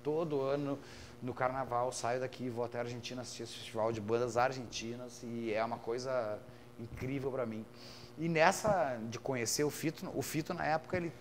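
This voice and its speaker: Gaúcho man, 30s, musician guest, mid-pitched, rambling casual delivery, faint hum behind. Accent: gaúcho Brazilian Portuguese. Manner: relaxed, rambling, with frequent fillers like "cara" and "saca". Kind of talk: casual conversational storytelling.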